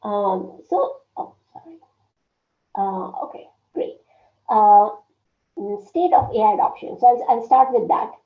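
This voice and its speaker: A woman giving an online workshop presentation with a strained, hoarse voice, speaking haltingly with many fillers and frequent throat clearing. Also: mid-range voice, lively intonation. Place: indoor room at home. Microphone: laptop webcam on a video call. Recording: phone-like video call audio, roomy echo.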